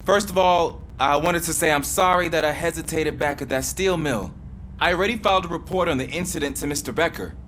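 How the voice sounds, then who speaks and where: Man playing an earnest, higher-voiced rookie cop. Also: brisk, fairly bright tone, a little hiss or hum, lightly acted. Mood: earnest, eager. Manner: polite, earnest, hesitant. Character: earnest, eager rookie policeman. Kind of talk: video game acting.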